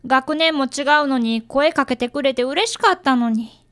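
A woman's voice: sits high, moderate pace, fairly bright tone. A woman playing an earnest, polite fifth-grade boy who is an apprentice detective, acting and narrating in a radio drama. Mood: downcast.

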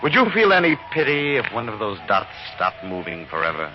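An actor playing a charming, cynical man whose breezy charm turns quietly menacing, in a 1950s radio drama. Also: lowish voice, huge pitch swings, fairly quick, slight background noise. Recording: muffled old radio broadcast with music.

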